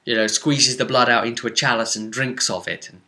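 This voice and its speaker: A man's voice: mid-range voice, steady tone, brisk, bright, forceful tone. A man recounting a tabletop fantasy game as game master, telling it like a story with asides to the listener. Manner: storytelling with rhetorical asides to the audience.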